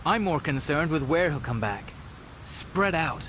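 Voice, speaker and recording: A man's voice: a little high, lively intonation, a little slow, noisy background. An actor playing an earnest, cautious male fantasy adventurer in a video game, speaking with concern. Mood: wary.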